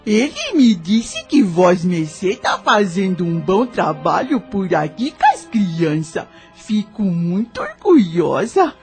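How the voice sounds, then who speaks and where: A woman's voice: mid-range voice, sing-song, takes it slowly, a little mellow, faint music behind. A woman playing a kindly, humble old nanny in a Brazilian audio novel, speaking an affectionate rustic dialect. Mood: tender, glad.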